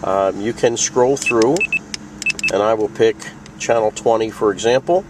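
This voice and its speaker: A man's voice: fairly low pitch, measured pace, distant mic, noisy background. A man hosting a casual product tutorial, explaining things plainly and step by step. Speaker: video host demonstrating a radio.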